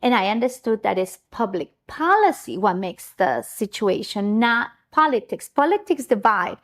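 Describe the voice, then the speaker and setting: A woman's voice: fairly high pitch, sing-song, moderate pace. A woman from Ecuador hosting a casual interview show, effusive and chatty, sometimes tripping over her words. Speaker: talk show host.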